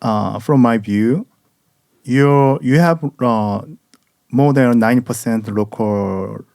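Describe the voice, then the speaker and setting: Korean-accented male podcast host, slow and halting, dry close sound. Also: mid-range voice, vocal fry, darkish tone, no room sound. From South Korea. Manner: halting, careful English with frequent pauses and "uh". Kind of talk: casual interview chat.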